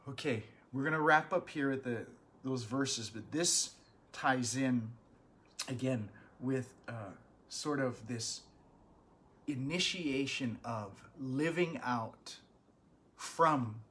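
A man, a pastor teaching a Bible study from home, earnest and animated, with bursts of emphasis. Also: mid-range voice, slow pace, a little hiss or hum. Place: small living room at home.

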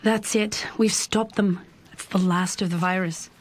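A woman's voice: medium pitch, fairly even intonation, a little slow, natural voice. An actress playing a tense, determined female field agent, speaking tersely in a video game. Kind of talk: scripted game cutscene acting. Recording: noisy battle scene with gunfire.

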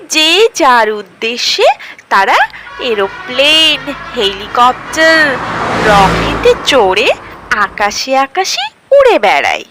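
A woman narrating an animated children's story in a dramatic, expressive storytelling manner. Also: high-pitched. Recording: clearly noisy background.

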